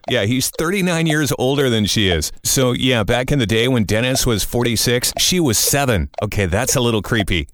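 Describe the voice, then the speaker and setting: A man's voice: medium pitch, a bit bright, no echo. A man hosting a morning-radio style podcast with a wry, joking, conversational delivery. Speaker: podcast host. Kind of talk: morning-radio show banter and quips. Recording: dry studio with music bed.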